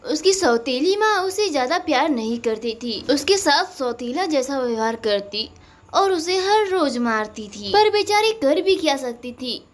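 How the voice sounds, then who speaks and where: A woman's voice: sits high, very animated, measured pace. A woman narrating a scripted cartoon story as a storyteller.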